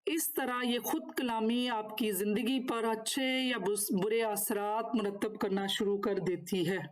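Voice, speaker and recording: High-pitched woman giving an instructional lecture. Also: steady tone, measured pace, faint music behind. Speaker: NLP course instructor. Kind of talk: lecture, partly read from notes with spoken explanations.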